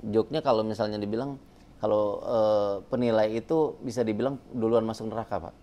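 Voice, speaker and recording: A man's voice: fairly low pitch, steady tone, a little fast, dark tone. A man talking as a guest about bank appraisal work, casual and jokey with many fillers. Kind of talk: casual panel talk.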